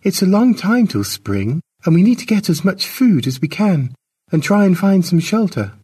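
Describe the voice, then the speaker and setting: A man's voice: high-pitched, big pitch swings, normal speed, warm tone, slightly rough, voice pushed up, exaggerated voice. A man voicing an earnest, protective clan mother who explains things urgently in an audiobook story. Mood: earnest warning.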